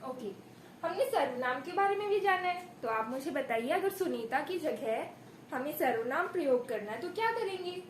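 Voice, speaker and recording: A woman's voice: high voice, expressive, moderate pace. A woman giving a demonstration lesson as a primary school teacher, expressively telling a story and prompting pupils with questions and praise. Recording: echoey room with faint background noise.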